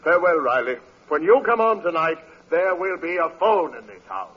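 An actor playing a pompous, grandiloquent, sponging uncle in a vintage radio comedy, formal and theatrical. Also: male voice, on the high side, very animated, normal speed, breathy edge. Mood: grandly confident. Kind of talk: 1940s radio comedy acting. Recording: muffled old radio recording.

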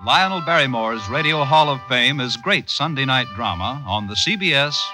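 A man reading scripted credits as a formal, clearly enunciated 1950s radio announcer. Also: mid-range voice, lively intonation, moderate pace, music in the background.

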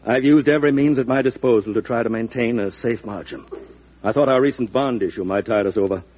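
A man's voice: mid-range voice, brisk, a little mellow, natural voice. An actor playing an earnest, dutiful male treasury official, formal and deferential, in an old-time radio drama. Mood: concerned, deferential. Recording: muffled old radio recording.

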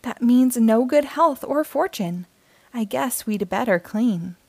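A woman's voice: on the high side, expressive, slow, warm tone, slightly rough, dry recording, heavily acted. A woman reading the part of an eager, determined child dragon hunter in an earnest storybook delivery. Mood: excited, hopeful.

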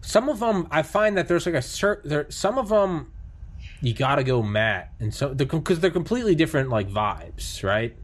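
Excitable rambling man, podcast host. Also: a little high, moderate pace. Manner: rambling, excitable, heavy swearing and filler words. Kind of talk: casual chat.

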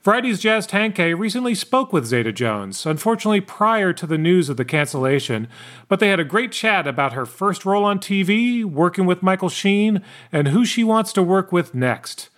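A man's voice: sits high, moderate pace. A man hosting a podcast, chatting loosely with a guest and reading scripted segment intros. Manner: lively, mixes loose chat with read-out intros. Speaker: podcast host.

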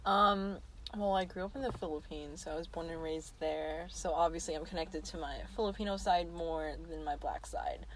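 A woman's voice: low voice, moderate pace, low-quality recording. A young woman in a casual group chat, talking bluntly with casual swearing. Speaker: panelist.

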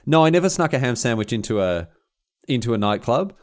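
A man's voice: mid-range voice, very animated, a little fast. An Australian man hosting a podcast, telling stories in casual comic chat and repeating phrases for emphasis. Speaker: podcast host.

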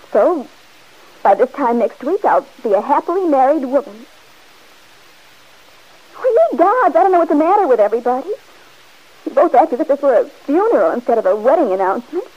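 A headstrong young bride-to-be. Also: female voice, high voice, sing-song, fairly quick, pauses often. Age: young adult. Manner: insistent, defensive. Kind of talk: 1940s radio acting. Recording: dull old radio recording.

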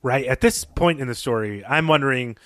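A man of middling pitch speaks as a podcast co-host. He analyses literature in a measured, thoughtful way, building his points in halting phrases. The recording is dry and close. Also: lively intonation, fairly bright tone, no echo.

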